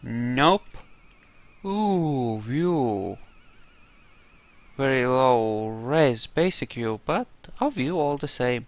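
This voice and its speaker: A man doing roleplay commentary over a game, musing aloud and explaining his character's reasoning in a deliberate way. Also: mid-range voice, lively intonation. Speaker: gamer narrating a roleplay playthrough.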